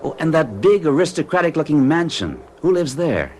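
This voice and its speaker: A man's voice: on the high side, sing-song, moderate pace, a little mellow, slight background noise. An actor playing a bewitched middle-aged bachelor in an old-time radio drama, speaking huskily with intense, hesitant confessional delivery. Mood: curious. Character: forty-one-year-old orderly bachelor, bewitched and bewildered.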